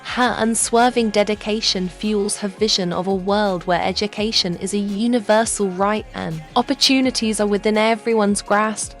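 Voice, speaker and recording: A woman's voice: medium pitch, fairly even intonation, moderate pace, few pauses, faint music behind. A woman narrating a contestant's profile in a polished, uplifting way. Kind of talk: scripted narration.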